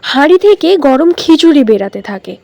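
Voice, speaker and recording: A woman's voice: high-pitched, big pitch swings, normal speed, creaky, dark tone, no room sound. A woman narrating a scripted cartoon story as storyteller.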